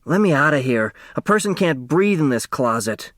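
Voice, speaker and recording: A man's voice: fairly high pitch, sing-song, moderate pace, fairly bright tone, no echo, studio quality, pitched above the performer's own voice. A man voicing a sulky, defiant young girl in a storybook, with a blunt, complaining manner. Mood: fed up.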